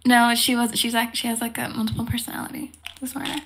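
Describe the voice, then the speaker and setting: A woman's voice: a little high, completely flat delivery, fast, noisy background. A woman who makes ASMR roleplays, chatting casually and teasing playfully as a stream guest. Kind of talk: casual chat.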